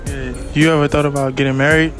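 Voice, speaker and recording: A man's voice: a little high, little pitch movement, fast talker. A young man interviewing women in a clothing store, using casual slang and pushy banter. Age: young adult. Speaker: street interviewer. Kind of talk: playful prank interview. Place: large indoor clothing store. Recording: loud music behind.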